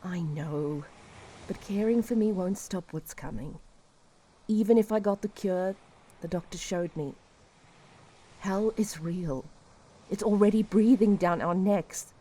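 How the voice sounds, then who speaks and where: A woman playing a despairing, resigned helpline caller in an audio drama, speaking wearily and tenderly in halting short phrases. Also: medium pitch, dark tone, breathy edge. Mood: bleak, urgent.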